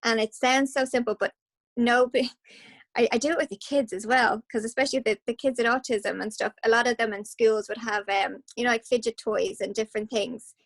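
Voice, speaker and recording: An Irish woman speaking as a guest hypnotherapist, passionate and animated, with quick restarts. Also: on the high side. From Ireland. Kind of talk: casual interview talk.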